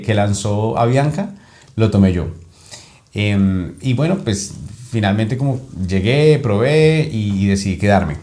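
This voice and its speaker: A man in his thirties with a Bogotá Colombian accent, a guest telling his story in a relaxed interview, often pausing with fillers and restarts. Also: on the low side. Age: 30s. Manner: hesitant, many fillers and restarts. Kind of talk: casual interview.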